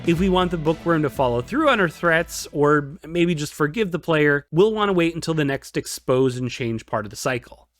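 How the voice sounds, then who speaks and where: A man's voice: a little high, expressive, moderate pace, hardly any pauses, fairly bright tone, dry recording. A man narrating a scripted video lesson as presenter, emphatic and informal with playful exaggeration. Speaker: video essay presenter teaching game writing. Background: background music.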